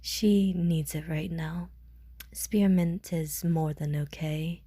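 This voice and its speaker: A woman playing a calm, world-weary woman who speaks politely and measuredly, with dry teasing and care, in an audio roleplay. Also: deep voice, breathy edge, no echo, full character voice. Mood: gently teasing. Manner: measured, polite, softly jaded.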